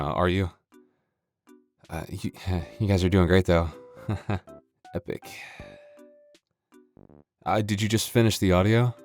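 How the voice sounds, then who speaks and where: A man with a deep voice talks casually to his listeners as an audio creator. He rambles in a dry, joking, self-deprecating way, with soft music behind him. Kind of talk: casual, joking outro chat.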